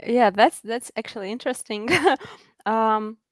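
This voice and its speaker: A woman's voice: fairly high pitch, steady tone, unhurried, dry recording. Latvian lady on a video call, giggly, lots of uhs, dry close sound. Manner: laughs often, hesitant with fillers. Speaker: guest artist. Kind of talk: casual interview chat.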